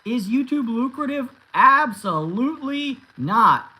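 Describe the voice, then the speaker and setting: A man's voice: extremely high pitch, expressive, slow, sharp tone. A man in his thirties chatting casually on a livestream and answering viewers, rambling with many restarts and fillers. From United States. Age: 30s. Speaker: YouTube host answering live chat.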